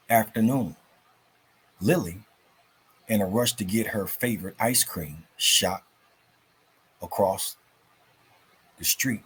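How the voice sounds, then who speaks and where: A man with a deep and heavily husky voice speaks at a slow, unhurried pace. He has the informal, folksy manner of someone hosting a story time for children. The rasp runs all through his delivery. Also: rough recording. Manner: folksy, unhurried, addresses listeners as family. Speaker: host of a children's story show.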